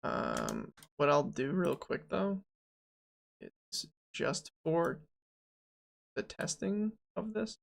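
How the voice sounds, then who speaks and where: Gravelly-voiced twenty-something game dev streamer. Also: medium pitch, huge pitch swings, very slow, pauses often. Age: 20s. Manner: joking, rambling, self-talk with fillers. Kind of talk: casual live dev stream, thinking aloud.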